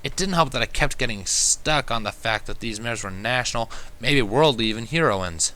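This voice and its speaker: A man's voice: medium pitch, animated, normal speed, sharp tone. A man reading a first-person fan fiction story aloud as scripted narration.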